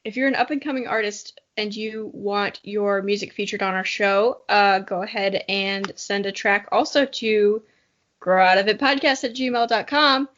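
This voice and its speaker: A woman's voice: mid-range voice, steady tone, moderate pace, fairly bright tone, lo-fi sound. A woman hosting a podcast, chatting casually in a jokey, self-deprecating way. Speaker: podcast host. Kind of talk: casual podcast outro chat.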